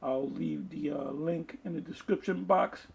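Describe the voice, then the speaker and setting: A man preaching informally online, reading aloud and commenting in a halting way, often restarting his phrases. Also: fairly high pitch, creaky, slightly rough. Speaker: preacher reading articles and scripture to an online audience.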